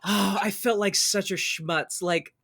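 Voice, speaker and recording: A man's voice: high-pitched, fairly even intonation, a little slow, creaky, sharp tone. A man hosting a casual podcast, chatting and recapping in a jokey, exclamatory way with some swearing. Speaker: podcast host. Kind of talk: casual chat with comedic show recap.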